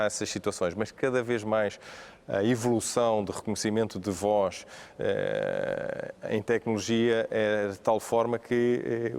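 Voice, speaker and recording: A man with a European Portuguese accent speaking on a business panel, pausing often with fillers and restarts. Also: fairly low pitch, a little mellow. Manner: hesitant, many fillers and restarts. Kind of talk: panel discussion.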